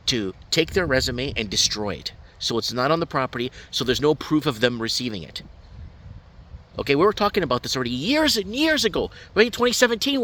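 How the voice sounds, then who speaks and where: A man's voice: fairly high pitch, bright, forceful tone, slight background noise. A middle-aged man with a Portuguese family background, ranting heatedly and repetitively to camera about politics from his backyard. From Portuguese family, raised in Canada. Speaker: online commentator. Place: backyard, outdoors.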